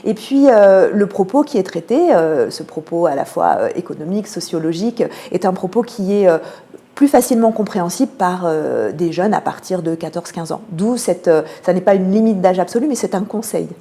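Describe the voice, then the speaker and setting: A woman with a French accent from France giving measured, explanatory answers as a radio interview guest, pausing often to find her words. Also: lowish voice, lively intonation, mellow. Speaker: theatre programme manager, interview guest.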